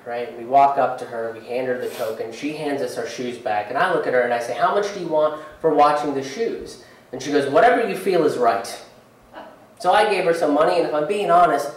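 A man in his thirties performing a stand-up comedy set on a theater stage, telling a story and acting out other people's lines with big jumps in pitch. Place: theater stage in front of a curtain, live audience. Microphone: handheld mic. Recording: roomy hall audio from a distance.

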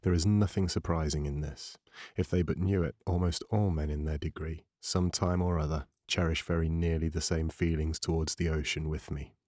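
A low-voiced man narrates a classic novel in a measured, dramatic way. His tone is husky, with a breathy rasp to it. The recording is close and dry, with no room sound. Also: steady tone, a little mellow, no room sound. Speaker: audiobook narrator. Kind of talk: scripted literary audiobook narration. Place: dry studio voice-over recording.

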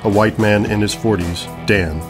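Low-voiced male narrator over guitar music. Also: fairly even intonation, moderate pace. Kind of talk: scripted narration of stage directions.

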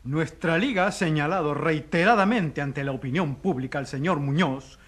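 A man playing a stuffy, accusing doctor giving formal, measured testimony in a radio drama. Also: on the high side, a bit bright, slightly rough. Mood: prim accusing. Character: stuffy, accusing male doctor on witness stand.

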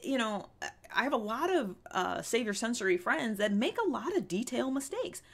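A woman's voice: on the high side, moderate pace, vocal fry. An American woman in her thirties talking casually and candidly to camera as a vlog host, emphatic, with rhetorical questions and repeated phrases. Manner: emphatic, animated delivery with rhetorical questions and repetition. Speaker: vlog host giving self-growth advice. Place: small indoor room with fabric backdrop.

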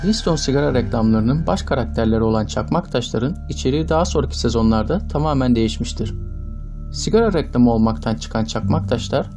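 A man narrates from a script. His delivery is formal and measured. Music plays clearly underneath. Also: mid-range voice, lively intonation. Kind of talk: scripted narration of trivia video. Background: background music.

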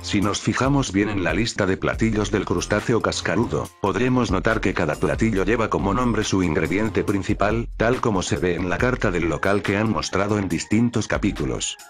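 A man reading scripted narration as the narrator of a countdown video. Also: on the low side. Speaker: narrator of a cartoon top-list video.

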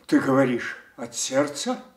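A man's voice: medium pitch, sing-song, unhurried. An older actor playing a proud, commanding aging king in a classical radio tragedy, speaking in a stately, declamatory way. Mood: stern, wounded. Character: imperious aging king dividing his realm, proud. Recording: roomy echoing stage sound, slightly distant.

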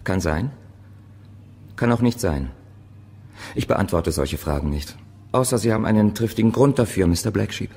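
Prickly photographer for crime radio play: deep, gruff, curt, in a long reverb. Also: male voice, moderate pace, pauses often, a little mellow. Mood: curt, guarded. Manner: curt, evasive. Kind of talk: German radio crime drama acting. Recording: reverberant large room, background noise.

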